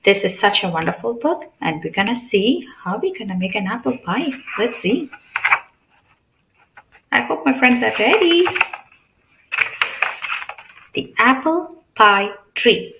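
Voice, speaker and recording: A woman with a fairly high, very animated voice reads a picture book to young children. She speaks like a teacher, pausing to put simple questions and explain things. The recording is noticeably roomy and echoey. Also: gravelly, background noise. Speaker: read-aloud channel host.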